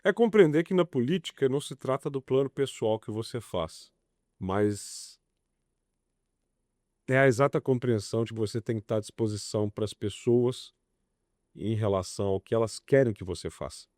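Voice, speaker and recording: A man's voice: medium pitch, normal speed, darkish tone, dry recording, studio quality. A man, answering interview questions with emphatic, passionate delivery. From Belo Horizonte, Brazil. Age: 40s. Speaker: political candidate guest.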